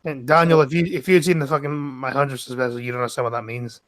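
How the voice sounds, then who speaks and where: A man's voice: mid-range voice, fairly even intonation, fast. A Scottish man trading brash, boastful banter with friends on a stream. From Scotland.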